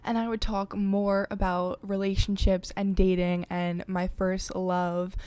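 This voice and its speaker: A woman's voice: medium pitch, flat delivery, a little slow, darkish tone, smooth. A young woman hosting her own podcast, chatting in a confiding way with lots of filler words. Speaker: podcast host. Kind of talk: casual chat. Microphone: desk podcast mic.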